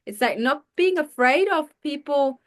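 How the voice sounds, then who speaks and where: A woman's voice: high-pitched, lively intonation, unhurried. A woman telling a personal story in a casual chat, candid and earnest.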